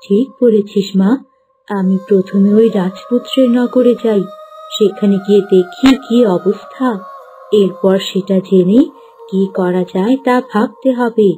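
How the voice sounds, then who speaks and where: A woman's voice: medium pitch, unhurried, dark tone, soft background music, lightly acted, poor audio. A woman voicing a wronged, protective mother turned into a bird, speaking earnestly and dramatically in a fairy-tale cartoon. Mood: sorrowful, determined.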